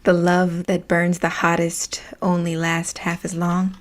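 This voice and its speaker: An actress playing an earnest, idealistic tech startup believer in a casual, self-correcting conversational monologue. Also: female voice, fairly low pitch, completely flat delivery, slow. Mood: wistful.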